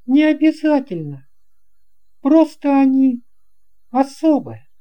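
Narrator doing a kid pilot for a fairy tale audio story, high voice, friendly n sure of himself, slow going. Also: sing-song, highs cut off, exaggerated voice. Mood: friendly, confident. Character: boy pilot, friendly, confident, calmly reassuring.

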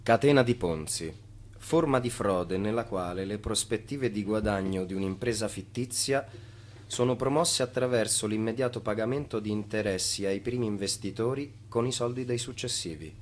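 An actor as the sober narrator of a radio play, reading in a measured, detached, declarative way. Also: male voice, on the low side, natural voice. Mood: formal. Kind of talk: scripted radio drama narration.

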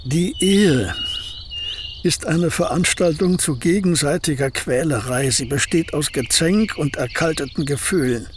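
A man's voice: on the high side, measured pace, background noise, natural voice. An older man acting in a radio drama, playing a gloomy aging painter who talks in a curt, dry and scornful way. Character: gloomy aging male painter, bitter and sardonic.

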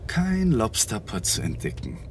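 An actor playing a gentle, conciliatory, slightly awkward young husband in a German radio drama. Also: male voice, lowish voice, huge pitch swings, a little slow, far from the mic, background noise. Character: placating, awkward young husband, eager to avoid quarrels.

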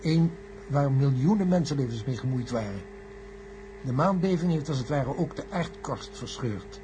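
A man's voice: medium pitch, close to an everyday voice. A man playing a grave, learned professor in a science-fiction radio play, formal and pedantic as he explains. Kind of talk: radio drama acting. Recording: noisy old radio recording with hum.

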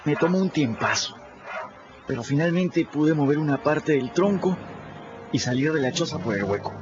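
An actor voicing a plucky, sly runaway boy in a radio drama, telling his story in the first person in a lively way. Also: a little high, normal speed, noisy background, lightly acted. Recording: dull lo-fi radio recording. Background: clear music bed.

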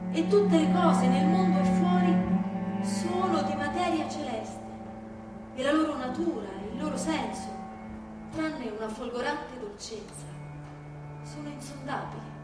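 A woman giving a dramatic stage reading of literary texts, with a measured, declamatory delivery and deliberate pauses. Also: sits high. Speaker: stage performer. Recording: very echoey hall from far off, music behind. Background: live music.

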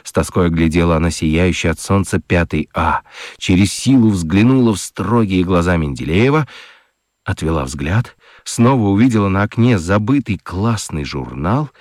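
A man narrating a children's radio play as a dramatic storyteller, with expressive, deliberate literary phrasing. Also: deep voice, no room sound, studio quality. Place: dry studio.

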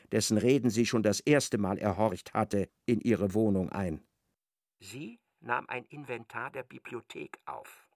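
An actor playing the precise, articulate narrator of a literary radio drama. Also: male voice, mid-range voice, creaky, a little mellow, no echo, studio quality. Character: precise, observant third-person male narrator of a novel.

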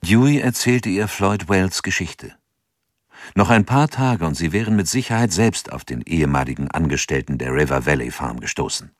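A man narrating a radio drama in a measured, sober manner. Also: low voice, animated, dry recording, clean studio sound. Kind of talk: scripted narration.

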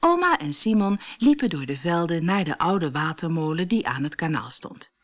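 A woman reads a children's story for an audiobook. Her voice sits a little low, and her intonation moves up and down a great deal. She tells it expressively, as scripted narration. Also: normal speed. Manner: expressive storytelling, acts out dialogue.

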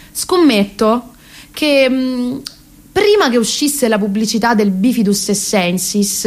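A woman of about thirty with a Roman Italian accent performs a satirical monologue in an expressive, exasperated, ironic way. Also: on the high side. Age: around 30. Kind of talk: theatrical satirical monologue on radio.

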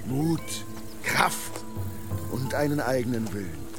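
Menacing, coaxing beast in human guise. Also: male voice, mid-range voice, very animated, over music. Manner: slow insinuating threats and coaxing, echoes own words. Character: menacing beast-creature posing as a dead husband, coaxing, domineering. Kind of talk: German radio drama acting.

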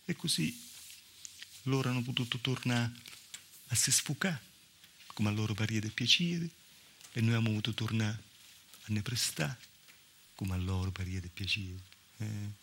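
A man's voice: fairly low pitch, moderate pace, pauses often, a bit bright. A man with a southern Italian accent playing a wry, plaintive village woman telling her story in a conversational theatrical monologue. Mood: weary, resigned. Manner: conversational, punctuated with rhetorical "eh". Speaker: stage actor. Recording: live theatre recording with noise.

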